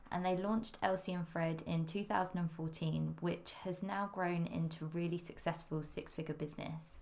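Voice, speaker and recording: A woman's voice: lowish voice, gravelly. A woman hosting a fashion podcast, chatty and upbeat as she introduces her guests. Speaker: podcast host.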